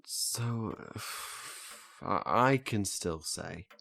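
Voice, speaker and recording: A man's voice: lowish voice, animated, extremely slow, vocal fry, sharp tone, dry recording, full character voice. A man playing an earnest, flustered learner in a naturalistic audio drama, hesitant and stammering as he restarts his phrases. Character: earnest, flustered male learner eager to master memory techniques.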